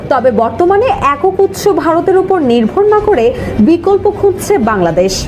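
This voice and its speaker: A woman delivering scripted news narration. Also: extremely high pitch.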